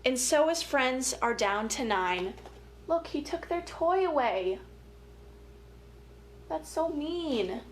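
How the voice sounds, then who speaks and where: High-pitched woman hosting children's story time, echoey. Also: animated, slow, long pauses. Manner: playful, chatty, informal, encouraging children along. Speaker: story time host, family educator. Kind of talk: casual interactive children's story time, movement prompts and read-aloud. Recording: echoey room with light background noise.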